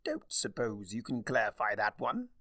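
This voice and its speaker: A man voicing a theatrical, sardonic, mischievous male chaos spirit with a wry, drawn-out delivery in a fan fiction reading. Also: lowish voice, huge pitch swings, bright, forceful tone, dry recording, studio quality, exaggerated voice. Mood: aggrieved, theatrical.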